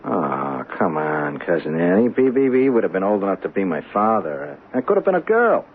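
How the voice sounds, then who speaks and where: A cocky young street loser with a lowish voice that jumps around a lot. He talks in slang, offhand and halting, always on guard. It is old radio drama, thin and boxy. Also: very animated. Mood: incredulous. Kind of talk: 1970s radio drama acting. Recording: narrow, faintly noisy old radio broadcast.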